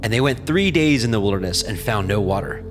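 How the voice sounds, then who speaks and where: A man's voice: fairly low pitch, expressive, fairly quick. A man narrating scripture from a script in a solemn, formal storytelling manner. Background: prominent music.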